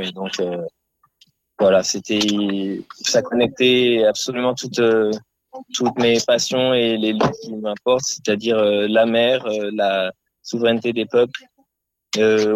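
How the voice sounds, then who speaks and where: A man giving a spontaneous personal account in an interview as an activist sailor, halting and reflective as he searches for words. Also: lowish voice, slight background noise, rough recording.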